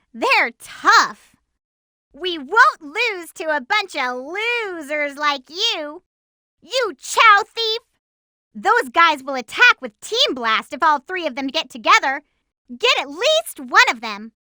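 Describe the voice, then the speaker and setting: A spirited young girl heroine from a cartoon-styled video game. The voice is extremely high and sparkling bright, with lively swings in pitch. The delivery is perky, feisty and emphatic, full of determination. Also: no echo, clean studio sound, full character voice. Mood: eager, determined, then feisty, indignant. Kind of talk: video game voice acting, tips and battle shouts.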